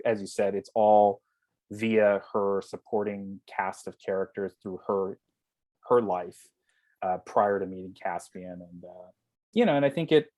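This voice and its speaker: Guy on a video call, lowish voice, very dry sound, chatting casually about his work. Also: a little mellow, no room sound. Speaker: writer and director. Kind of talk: casual panel Q&A talk.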